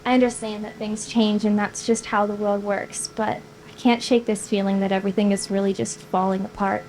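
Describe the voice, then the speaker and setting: A woman's voice: medium pitch, level tone, a little fast, a little mellow, natural voice, poor audio. A woman reading the part of a wistful, teasing young woman at a screenplay table read. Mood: melancholy, anxious. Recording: noticeable background noise.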